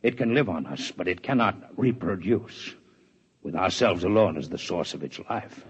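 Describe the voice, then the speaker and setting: An actor playing a proud, formal alien male scientist in a 1950s radio drama, with measured, precise diction. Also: low-pitched, animated, husky, exaggerated voice. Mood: grave. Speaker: alien doctor in a radio play. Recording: dull vintage radio recording.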